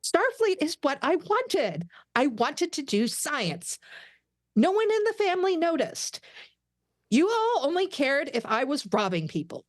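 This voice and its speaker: A woman's voice: high voice, very animated, unhurried. A woman reading the part of an earnest, indignant young female alien science officer in a comedic table read. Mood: hurt, earnest.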